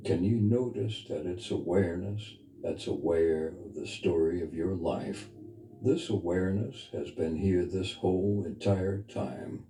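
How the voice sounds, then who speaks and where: A man leading a guided meditation as a spiritual teacher, speaking in a soft, intimate, earnest and unhurried way. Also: low-pitched. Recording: reverberant voice over background music. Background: music.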